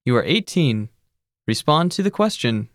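A narrator giving measured, scripted instructions in a language course. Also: medium pitch, no room sound, studio quality. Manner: even, measured teacherly instructions.

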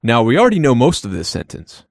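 Lively co-host explaining phrases. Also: medium pitch, fast, creaky, dry recording, clean studio sound. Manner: explains meanings in lively, upbeat lines. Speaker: language lesson co-host. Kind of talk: scripted language lesson.

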